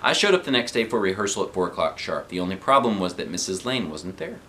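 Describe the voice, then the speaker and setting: A man's voice: low voice, quick pace, slight background noise. A man in his thirties reading a children's book aloud to kids from his living room sofa, with playful asides. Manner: animated, playful character voices. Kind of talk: expressive read-aloud with asides.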